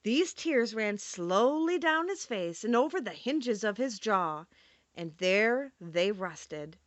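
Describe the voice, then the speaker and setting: A woman narrating a classic children's audiobook in a clear, storytelling manner. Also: medium pitch, lively intonation, slow pace, no echo.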